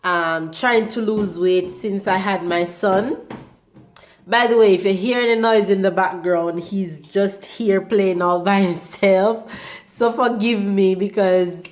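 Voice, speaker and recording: A young woman, hoarse from a cold, chatting casually in a home vlog about her diet, with many ums. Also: medium pitch. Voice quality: slightly rusty voice. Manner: chatty, unhurried, frequent ums. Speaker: vlogger.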